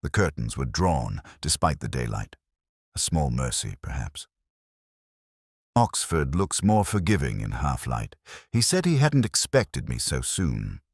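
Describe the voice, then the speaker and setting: Very deep-voiced male story narrator. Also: big pitch swings, a little slow, breathy edge, no room sound, clean studio sound. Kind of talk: scripted first-person detective story narration.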